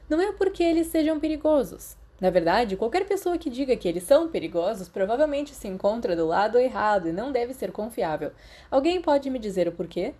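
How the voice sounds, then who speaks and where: Casting: hearty male creatures teacher, thirties, storybook classroom, encouraging, mellow tone with lifted pitch played by a female narrator. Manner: booming, encouraging classroom address. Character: hearty, enthusiastic male professor of magical creatures in his thirties. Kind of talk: audiobook character dialogue.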